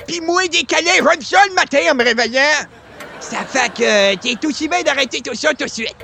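A man's voice: very high-pitched, moderate pace, vocal fry, bright, forceful tone, a little hiss or hum, exaggerated voice. A man playing a cranky old grandpa neighbour with a Québécois accent, grumbling theatrically in a radio comedy. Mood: whiny, complaining. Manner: grumbling, theatrical, rambling chains of complaint. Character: cranky old grandpa neighbour who adores his mother.

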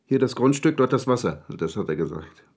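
Agitated man, rapid speech, impatient, blurting, colloquial, radio play. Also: mid-range voice, sing-song, darkish tone, breathy edge. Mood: nervous, explaining.

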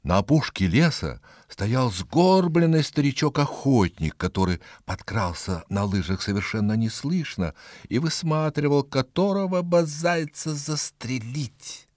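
A man narrating a children's animal story in an expressive, scripted storytelling style. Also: medium pitch, unhurried, dry recording, studio quality.

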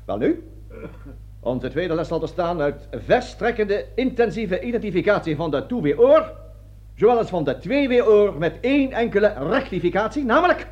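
A man's voice: high-pitched, sing-song, normal speed, darkish tone. An actor playing a bossy, pompous army colonel who lectures his troops in a satirical radio play. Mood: pompous, emphatic. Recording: dull lo-fi old radio recording.

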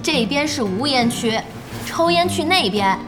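A high, very animated voice of a feisty young woman running an internet cafe. She is blunt and brash, scolding and exclaiming in audio drama style, with music behind her. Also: a little slow. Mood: annoyed. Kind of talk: Chinese audio drama acting.

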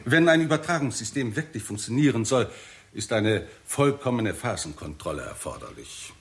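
An actor playing a confident scientist who lectures deliberately and emphatically in a 1960s German radio drama. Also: male voice, medium pitch, expressive, close to an everyday voice. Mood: assured, emphatic. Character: male scientist, confident, explanatory, theorising.

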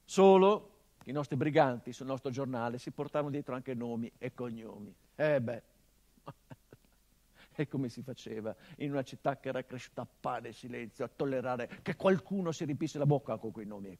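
A bitter middle-aged son carries this theatrical monologue in a mid-pitched voice. He sinks to hushed, grieving murmurs and then rises into impassioned, sardonic outbursts, his tone biting throughout. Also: animated, normal speed. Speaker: stage actor.

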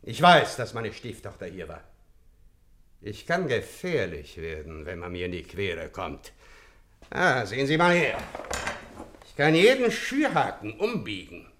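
A man's voice: on the low side, huge pitch swings, measured pace, a bit bright, heavily acted. A man acting a menacing, hot-tempered doctor in a radio play, with a threatening, emphatic delivery.

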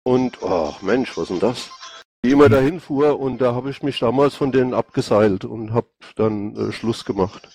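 A man's voice: medium pitch, moderate pace, a little mellow, slightly rough, dry recording, soft background music. Middle-aged man with a Rhine-Hessian accent, dry sound. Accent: Rhine-Hessian, mixing Hessian and Palatine. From Rhine-Hesse, Germany. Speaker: political candidate answering questions. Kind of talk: informal spontaneous self-presentation. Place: online voice chat.

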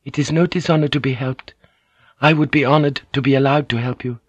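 A man voicing a gentle, proud young Japanese noblewoman, speaking softly and formally. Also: medium pitch, fairly even intonation, brisk, no room sound, highs cut off, pitched above the performer's own voice, close to an everyday voice. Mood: gentle, earnest. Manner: soft and formal.

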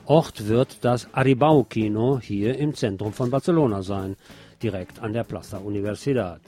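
Mellow-voiced man reading the news over music. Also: fairly low pitch, normal speed. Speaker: radio news presenter. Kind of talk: scripted news narration with brief asides.

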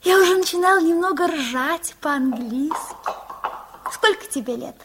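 A woman's voice: sits high, lively intonation, moderate pace, fairly bright tone. An actress in a Soviet radio play playing a kind, muddled old mare who was once a girl, speaking plaintively. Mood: plaintive, wistful. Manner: plaintive, muddles horse and human words. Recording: noisy 1960s radio recording.

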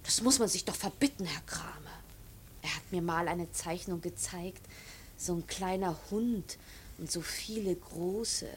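A woman's voice: fairly low pitch, a little slow, natural voice. An actress playing a prim, anxious, indignant young woman in a classic German radio play, flustered and emphatic, on a noisy old recording. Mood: indignant gossiping.

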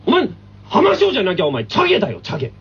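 Brash, fast-talking anime schoolboy. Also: male voice, high-pitched, wildly swooping pitch, a bit bright, muffled recording, slight background noise, exaggerated voice. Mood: cocky, squabbling. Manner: rough, blunt slang. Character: brash, hot-headed teenage tennis schoolboy, bickering. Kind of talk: anime comedy radio drama.

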